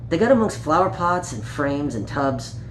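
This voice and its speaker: A man reads a picture-book tale to children with a very lively, swooping delivery. He speaks playfully, straight to his young listeners. The recording sounds roomy, with a little background noise. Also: fairly high pitch, normal speed. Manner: playful, child-directed, with small exclamations and questions to listeners. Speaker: storyteller reading for children.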